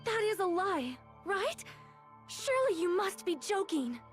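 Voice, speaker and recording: A woman's voice: extremely high pitch, sing-song, unhurried, faint music behind, exaggerated voice. A woman playing an earnest, polite teenage girl in a video game, speaking with formal, emphatic diction. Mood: distressed disbelief.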